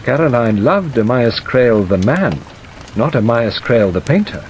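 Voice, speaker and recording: A man playing a worldly, sentimental elderly male lawyer in an audiobook, declaiming melodramatically with sighs and pauses. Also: mid-range voice, natural voice. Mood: dry, worldly. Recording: steady rain noise over narration.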